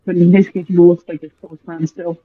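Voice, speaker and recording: Husky woman, casual gaming commentary, muffled sound. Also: lowish voice, little pitch movement, fairly quick, no room sound. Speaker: gaming streamer. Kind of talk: casual let's play commentary. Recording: dull, lo-fi microphone sound.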